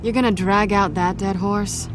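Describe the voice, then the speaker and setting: A woman's voice: mid-range voice, fairly even intonation, moderate pace, noisy background, lightly acted. A woman playing sly, cocky female thief. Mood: sly, wry. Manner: sly, flippant. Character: cocky, sardonic female thief, young adult. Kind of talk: dramatic game voice acting.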